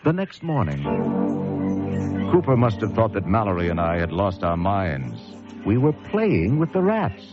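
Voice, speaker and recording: A man's voice: fairly low pitch, huge pitch swings, a little slow. An actor playing a haunted lighthouse keeper who narrates his ordeal with brooding suspense in a vintage radio thriller. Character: haunted male lighthouse keeper recounting horror. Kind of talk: dramatic first-person radio thriller narration. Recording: dull vintage radio recording with music.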